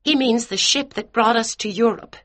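A man's voice: extremely high pitch, normal speed, fairly bright tone, voice pushed up. A man reading an audiobook and voicing a fussy, flustered middle-aged mother who gushes as she talks. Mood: gushing. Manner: flustered and gushing.

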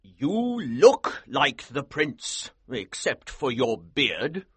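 Military colonel, husky strain, slow emphatic delivery, raised pitch, scheming and commanding, audiobook adventure. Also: male voice, big pitch swings, fairly bright tone, no echo, exaggerated voice. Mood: persuading. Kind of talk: audiobook character acting.

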